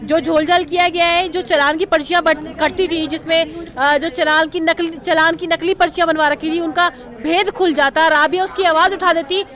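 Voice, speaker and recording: A woman's voice: extremely high pitch, steady tone, moderate pace, hardly any pauses, a bit bright. A woman speaks emphatically and insistently in an impassioned interview. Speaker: protester interviewed.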